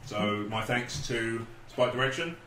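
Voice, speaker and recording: A man's voice: medium pitch, a little slow, bright, forceful tone. A man hosting a podcast in a casual, chatty style. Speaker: podcast host. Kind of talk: casual chat. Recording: roomy echo with background noise.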